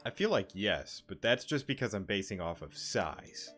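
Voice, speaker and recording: A man's voice: on the low side, very animated, normal speed, a bit bright, faint music behind. A man commenting casually while playing a game, musing in a rambling, hesitant way. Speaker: gaming streamer.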